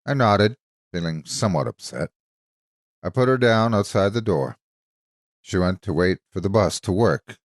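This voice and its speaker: A man narrating a scripted dramatic story in a measured, expressive storytelling manner. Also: deep voice, no room sound, clean studio sound.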